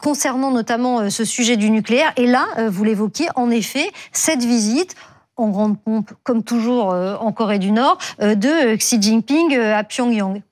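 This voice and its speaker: A woman's voice: a little high, normal speed, a little mellow. A woman hosting a live panel discussion on the news.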